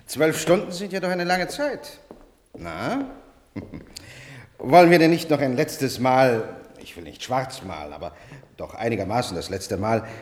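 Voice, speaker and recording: An actor playing a genial, eccentric physics professor in a German radio play, jovial and coaxing, with hesitant asides. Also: male voice, medium pitch. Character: genial, eccentric, unhurried male physics professor, Nobel laureate. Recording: reverberant hall with background noise.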